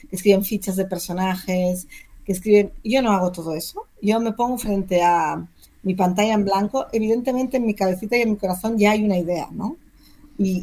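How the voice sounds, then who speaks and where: A woman with an accent from Spain, speaking as an interviewed novelist in a talkative, expressive, conversational way. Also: medium pitch. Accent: Spanish, from Spain.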